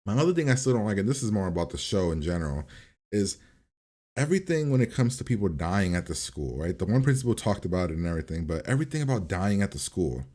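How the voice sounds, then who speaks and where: A man's voice: sits low, sing-song, quick pace, warm tone. A man hosting a reaction video, giving a casual, informal review and rambling as he repeats and revises his points.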